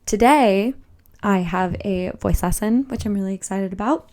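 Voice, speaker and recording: A woman's voice: mid-range voice, moderate pace, a little mellow. A young woman vlogging in a casual, upbeat, chatty way, thinking aloud, her voice a little tired. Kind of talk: casual vlog chat. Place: walking outdoors on a loud city street.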